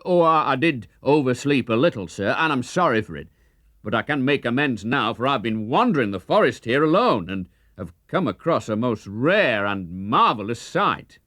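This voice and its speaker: A man's voice: medium pitch, sing-song, normal speed, fairly bright tone, no room sound, studio quality. A man voicing a bold, sly outlaw who talks eagerly and persuasively in a children's audiobook. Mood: humble, eager.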